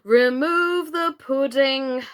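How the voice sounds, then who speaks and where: A woman voicing a bossy, imperious royal queen who barks sharp commands. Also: high-pitched, extremely slow, heavily acted. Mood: commanding.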